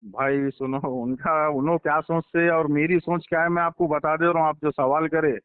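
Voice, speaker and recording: Man, Hyderabadi accent, thin phone audio, smooth clear voice, informal, insistent, repetitive, questioning. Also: fairly high pitch, fairly even intonation, normal speed, no echo. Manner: insistent, repeats himself and presses points with questions. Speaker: political insider giving his account to a journalist. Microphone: phone line. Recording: tinny recorded phone call.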